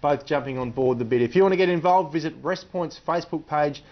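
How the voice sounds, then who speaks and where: Higher-pitched Australian male sports newsreader. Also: quick pace, highs cut off. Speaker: sports presenter. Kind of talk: scripted sports newsreading with light banter.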